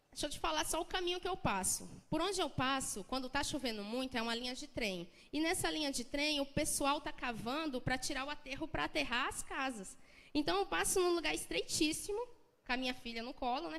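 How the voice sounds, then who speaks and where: A woman's voice: high-pitched, a bit bright. A young Brazilian woman from the São Paulo coast with a local accent, giving an earnest, emotional personal testimony in church, talking conversationally with many restarts. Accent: Brazilian Portuguese, São Paulo coast. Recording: long church hall reverb.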